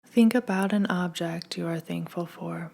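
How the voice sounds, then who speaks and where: A woman leading a scripted guided meditation in a gentle, hushed, soothing manner, leaving long pauses. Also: lowish voice, gravelly.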